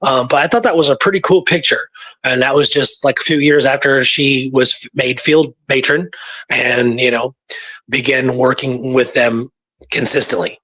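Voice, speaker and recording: A man's voice: medium pitch, steady tone, moderate pace, fairly bright tone, low-quality recording. A man presenting history as casual storytelling, talking informally and repeating words for emphasis.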